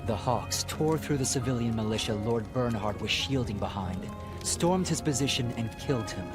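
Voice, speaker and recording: An actor playing a solemn, measured man who recounts a war formally in a fantasy game. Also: mid-range voice, expressive, music in the background, lightly acted. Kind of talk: dramatic storytelling in a fantasy game cutscene.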